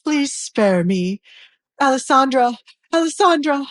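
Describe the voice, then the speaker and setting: A woman's voice: sits high, expressive, slow, dry recording, voice pushed up. A woman voicing a cowardly baron father in an audio drama, whining and stammering as they beg. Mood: pleading. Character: cowardly abusive baron father, pleading desperately for his life.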